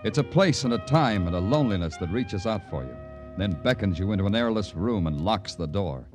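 An actor playing a world-weary police detective in radio noir style, dry, sardonic and brooding. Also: male voice, lowish voice, animated, fairly quick, darkish tone, faint music behind, close to an everyday voice. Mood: brooding, dramatic. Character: hard-boiled, world-weary male night-shift police detective. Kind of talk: 1950s radio noir narration and dialogue.

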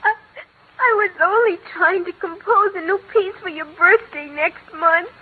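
A woman's voice: extremely high pitch, normal speed, a little hiss or hum. A woman playing a sly little girl, sweet to her father and defiant to her stepmother, in 1940s radio acting. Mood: tearful. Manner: cries on cue, wheedling. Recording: tinny old radio broadcast.

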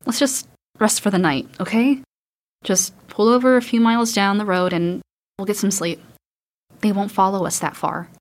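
A woman's voice: mid-range voice, steady tone, normal speed, lightly acted. A young woman acting a determined, hopeful daughter surviving in an audio drama, earnest and insistent. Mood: tired, soothing.

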